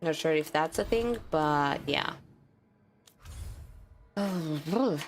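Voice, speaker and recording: A woman's voice: deep voice, expressive, takes it slowly, a little hiss or hum. A woman streamer with a stuffy nose, chatting casually with viewers while playing a game. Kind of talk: live gaming stream chat.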